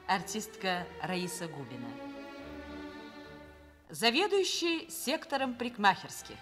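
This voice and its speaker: Female radio drama announcer, medium pitch, formal scripted delivery, violin music clearly behind. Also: huge pitch swings, measured pace.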